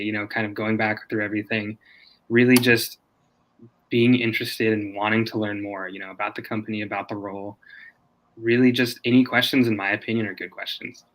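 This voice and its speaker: Easygoing man with a bright low voice. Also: fairly even intonation, brisk, rough recording. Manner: easygoing, lots of "you know". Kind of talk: panel discussion.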